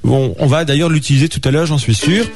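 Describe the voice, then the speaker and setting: A man hosting a live radio show, chatting with guests in a lively way with many fillers. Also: a little high, fast. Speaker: radio host.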